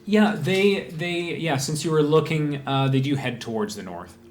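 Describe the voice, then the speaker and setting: A man running a tabletop game as game master, describing scenes in a halting way with many fillers and restarts. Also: on the high side, faint music behind. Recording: echoey room, faint noise.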